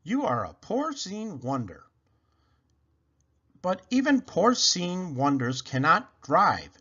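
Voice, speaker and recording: A man voicing a doting, affectionate middle-aged gentleman in an effusive, theatrical storybook style. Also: sits high, big pitch swings, slow, slightly rough, dull audio, pitched above the performer's own voice, heavily acted. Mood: adoring, earnest.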